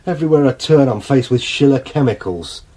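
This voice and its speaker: A man's voice: mid-range voice, animated, brisk, mellow, natural voice. An actor playing an anxious, distrustful British man in a radio drama, agitated and hesitant in his delivery. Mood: anxious, gloomy. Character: anxious, distrustful man fretting over a missing woman friend.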